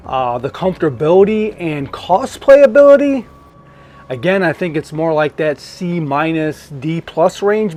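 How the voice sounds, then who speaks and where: A man giving a casual, informal product review as an online collectibles reviewer. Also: a little high.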